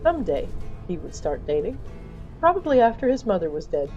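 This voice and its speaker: Woman, scripted story narration, wry, theatrical, very animated intonation, asides to the audience, guitar backing. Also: mid-range voice, brisk, highs cut off. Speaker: storyteller of a fiction podcast. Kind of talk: scripted comic fantasy narration.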